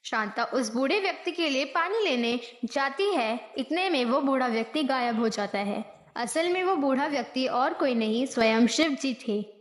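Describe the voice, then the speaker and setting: A woman's voice: high voice, expressive, normal speed, few pauses. A woman narrating a devotional moral story from a script. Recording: echoey, roomy voice.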